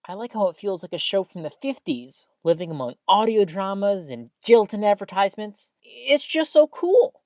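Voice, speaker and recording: A woman's voice: medium pitch, darkish tone, dry recording, clean studio sound, close to an everyday voice. A woman playing a stubborn, playful radio co-host in an audio drama, with a theatrical, mock-formal, quibbling manner. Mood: excited, protesting. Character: stubborn, playful radio host who loves old tech.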